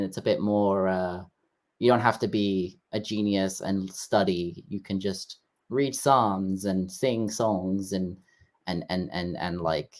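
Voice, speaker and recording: A man talking as a guest in a casual interview, explaining things in a halting way with frequent restarts. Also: on the low side.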